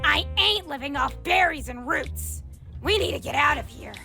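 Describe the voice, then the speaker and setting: Shrill, brash cartoon villainess. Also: female voice, expressive, normal speed, gravelly, sharp tone, soft background music, exaggerated voice. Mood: irritated. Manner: cocky, snappy, sneering. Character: brash, volatile young female cartoon villain creature. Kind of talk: cartoon comic dub acting.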